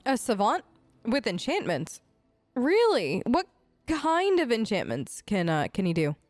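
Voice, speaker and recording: Higher-voiced woman role-playing casually. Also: huge pitch swings, darkish tone, no room sound, soft background music. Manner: halting, conversational, supportive. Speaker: let's play host. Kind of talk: casual improvised in-character role-play.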